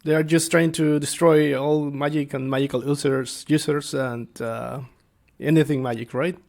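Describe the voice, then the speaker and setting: A man speaking as a tabletop game master, explaining things casually and without a script, halting as he thinks aloud. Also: on the high side, fairly even intonation, creaky, darkish tone. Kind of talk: casual unscripted explanation to viewers.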